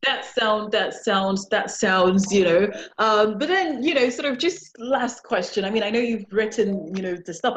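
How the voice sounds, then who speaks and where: A woman's voice: mid-range voice, a little hiss or hum. A woman hosting a casual conversational interview, asking questions and restating the answers to sum them up. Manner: repeats and sums up the guest's points. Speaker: podcast host, interviewer. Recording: slightly dull lo-fi sound.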